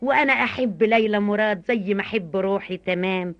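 A woman's voice: mid-range voice, a little fast. A middle-aged woman with an Egyptian accent, a stage and film actress chatting playfully as a radio guest. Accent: Egyptian colloquial. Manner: chatty, playful, laughs often. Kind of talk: lively radio interview chat. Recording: dull lo-fi archival radio recording.

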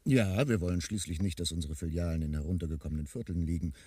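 An actor playing a cynical member of a scheming crew in a radio drama, with a husky, mellow voice. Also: male voice, sits low, fast, no echo. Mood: dry, cynical. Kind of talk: 1980s radio drama dialogue.

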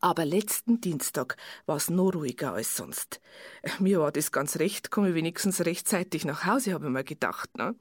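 An actress playing a tired tavern waitress who gives a detailed, halting witness statement in a naturalistic German radio play. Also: female voice, sits low, lively intonation, slightly rough, dry recording, clean studio sound. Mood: matter-of-fact, tired. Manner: observant, detailed, halting recollection.

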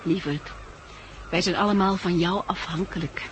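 Gentle, level-headed Dutch radio-drama woman played by an actress. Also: on the low side, steady tone, moderate pace, close to an everyday voice. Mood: affectionate. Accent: Netherlandic Dutch. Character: affectionate, level-headed female survivor, partner to the man. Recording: noisy old 1960s radio recording.

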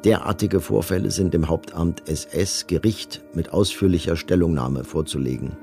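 A man's voice: sits low, moderate pace, creaky, darkish tone, faint music behind. An actor playing a cold, bureaucratic male camp commandant, reading official reports in a formal, detached way for a documentary radio drama.

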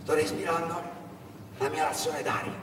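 Man acting a quiet, weary old man, echoey. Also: on the high side, level tone, moderate pace, natural voice. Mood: weary, resigned. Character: weary old Palestinian man, resigned and worried. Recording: echoey hall, distant mic, background noise.

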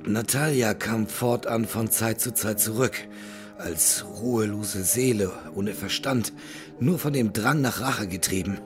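A man playing a grave, courteous older gentleman haunted by tragedy, speaking formally and with measure in a German audio drama. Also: fairly low pitch, a little slow, music in the background, close to an everyday voice. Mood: grave, bitter.

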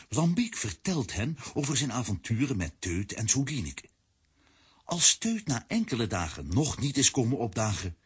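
Very husky, lowish male storyteller. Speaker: narrator. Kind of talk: scripted children's story narration.

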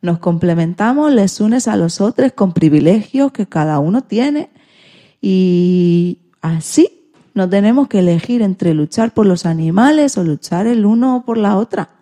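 A woman's voice: medium pitch, expressive, measured pace, mellow. A woman speaking as a presenter on a radio programme. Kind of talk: radio talk.

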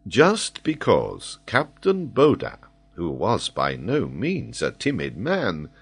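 A man voicing a calm, shrewd army major in a storybook, dryly teasing with an understated, deadpan manner. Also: lowish voice, takes it slowly, gravelly, breathy edge, voice pushed up, heavily acted.

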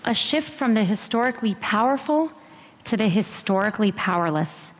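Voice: medium pitch, lively intonation, moderate pace. A speaker giving a public presentation in a hall. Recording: reverberant hall.

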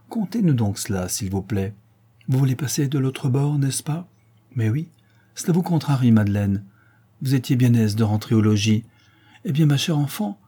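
A man voicing a pompous, excitable old ship's doctor who speaks vehemently and theatrically. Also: medium pitch, breathy edge. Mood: coaxing. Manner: vehement, theatrical, raises his voice.

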